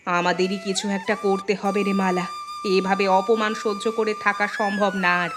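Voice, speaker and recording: A woman's voice: medium pitch, level tone, normal speed, music in the background, pitched below the performer's own voice, close to an everyday voice. A woman playing a resolute, protective eldest daughter-in-law in a folk tale, firm and reassuring. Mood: resolved, bitter. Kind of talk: Bengali folk tale dramatization.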